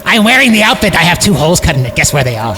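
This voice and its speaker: A man's voice: on the high side, big pitch swings, racing, over music. A man playing a creepy, brash costumed bear who hosts a kids' show, with a leering, theatrical manner in an improvised comedy bit. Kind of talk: mocking improvised character bit.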